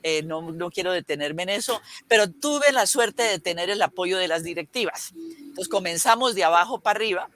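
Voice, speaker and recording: A woman's voice: lowish voice, lively intonation, normal speed, a bit bright, slight background noise. An older woman with a Colombian accent, a guest educator reminiscing in an interview, rambling through her stories with frequent restarts and fillers.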